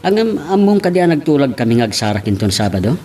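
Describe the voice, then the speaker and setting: A man's voice: mid-range voice, big pitch swings, fast talker, a little mellow, slight background noise, lightly acted. Man acting confident Ilocano romantic rival, varied intonation. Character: confident male rival in love.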